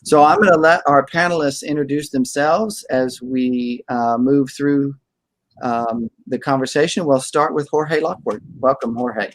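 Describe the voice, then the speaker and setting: A man's voice: medium pitch, unhurried, no echo, rough recording. A middle-aged man, the lead pastor, hosts a panel discussion with courteous welcomes. He speaks over a webcam on a video call, and his voice sounds dry and close.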